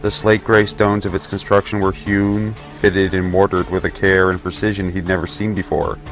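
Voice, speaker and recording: A man's voice: sits low, flat delivery, normal speed. A man narrating a scripted fantasy tale as the storyteller of an audio drama. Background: faint music.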